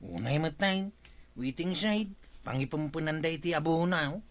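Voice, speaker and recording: An earnest young villager in an Ilocano radio comedy. His voice sits a little high, with an Ilocano accent and a pressing manner. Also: expressive, moderate pace, a bit bright. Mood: questioning.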